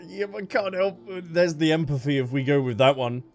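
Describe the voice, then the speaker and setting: A man's voice: on the high side, animated, moderate pace, a little mellow. A man giving casual reaction commentary as he plays a video game, thinking aloud about the story. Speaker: game streamer. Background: game music.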